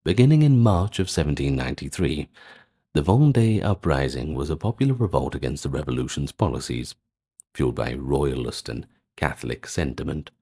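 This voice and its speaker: A man narrating a scripted history lecture in an ASMR style, measured and explanatory, with brief asides. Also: rumbling low, animated, warm tone, husky.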